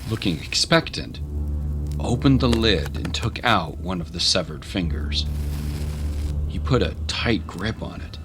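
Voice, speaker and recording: A man's voice: low-pitched, lively intonation, unhurried, over music. A man narrating a scripted dramatic story.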